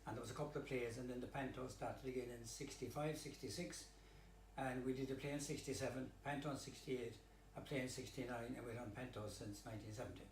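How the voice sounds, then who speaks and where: A man's voice: mid-range voice, fairly even intonation, quick pace. An older man with a Kerry Irish accent chatting in a jovial, playful and droll way. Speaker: pantomime producer, scriptwriter and performer. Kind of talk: jovial chat. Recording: echoey room, noticeable background noise.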